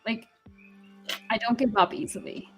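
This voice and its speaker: A young woman from Kenya, a software developer, chatting as an interview guest, upbeat, laughing often. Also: on the high side, steady tone, normal speed, soft background music. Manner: friendly and upbeat, laughs often, uses fillers. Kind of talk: casual interview.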